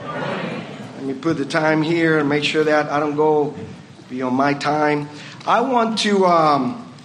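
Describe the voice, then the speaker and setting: A man's voice: on the high side, slow, a little hiss or hum. A man with a Puerto Rican accent giving a reflective communion meditation at a church service and asking the congregation questions. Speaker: communion speaker.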